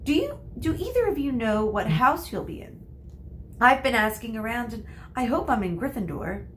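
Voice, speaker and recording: A woman's voice: on the high side, very animated, normal speed, a little hiss or hum, pitched above the performer's own voice. A woman voicing a bossy, eager know-it-all young witch girl in a storybook, chattering in a breathless, self-assured way.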